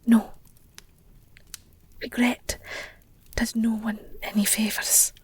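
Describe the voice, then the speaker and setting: A woman performing an audio roleplay as a protective, devoted werewolf girlfriend, speaking in an earnest, tender, halting way with a breathy whisper. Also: fairly high pitch, level tone, heavily acted. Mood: regretful, tender.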